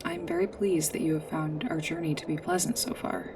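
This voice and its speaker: A woman playing a polite, earnest android guide in a sci-fi ASMR roleplay, speaking in formal, precise, literal phrases with hesitant pauses. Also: low-pitched, fairly even intonation, recorded from a distance, noisy background, natural voice. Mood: polite, pleased. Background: music.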